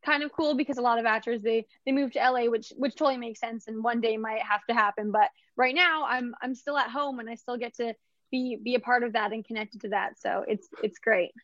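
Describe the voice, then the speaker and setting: A bright, higher-pitched woman from Vancouver talks about her acting work in a chatty, upbeat way, full of "like" and quick restarts. Her voice comes across dry and a little dull, as if on a lo-fi recording. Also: fairly even intonation, dry recording. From Canada, Vancouver. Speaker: actress being interviewed. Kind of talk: casual interview answers.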